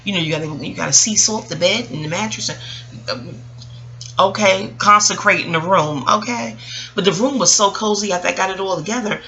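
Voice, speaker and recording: A woman's voice: medium pitch, brisk, highs cut off. A woman in her early forties telling a personal story in a casual, reflective, rambling way, often digressing and acting out what people said. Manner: rambling, digressive, animated retelling with acted-out quotes. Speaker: vlogger.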